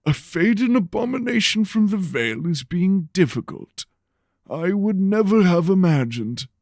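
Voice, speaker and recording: Man voicing a solemn, higher-pitched church rector. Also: sing-song, a little mellow, dry recording, studio quality, full character voice. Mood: scornful. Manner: formal, deliberate, earnest. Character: solemn, secretive male church rector, scholarly and grave.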